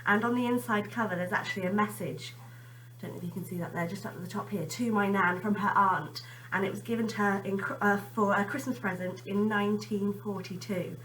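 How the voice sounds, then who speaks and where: A woman's voice: mid-range voice, steady tone, fairly quick, a bit bright, far from the mic, a little hiss or hum, poor audio. A woman teacher chatting informally to children and reading them a story expressively.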